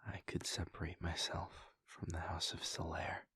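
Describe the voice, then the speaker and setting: A man voicing a brooding, conflicted male vampire prince in a whispered audio roleplay monologue, hesitant and breathy. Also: low voice, monotone, moderate pace, dry recording, heavily acted. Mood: wistful. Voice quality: gravelly, breathy. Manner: soft whispering, hesitant restarts.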